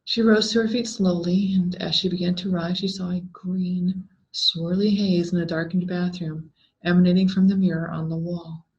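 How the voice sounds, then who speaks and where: A woman reading a scripted horror story aloud in a deliberate, dramatic way with drawn-out words. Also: on the low side, little pitch movement, darkish tone. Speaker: story reader. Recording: dull, lo-fi sound.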